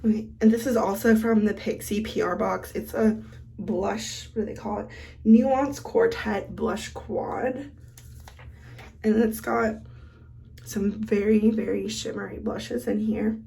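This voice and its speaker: A woman's voice: medium pitch, fairly even intonation, measured pace, gravelly, mellow, slight background noise. A woman vlogger who is sick and losing her voice, chatting casually while she does her makeup. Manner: chatty, thinks aloud while applying makeup. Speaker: beauty vlogger. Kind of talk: casual get-ready-with-me makeup chat.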